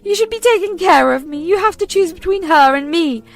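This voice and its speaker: A woman voicing a manipulative, whining older mother-in-law who accuses and pleads in a shrill, melodramatic way. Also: lively intonation, a little fast. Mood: shrill pleading.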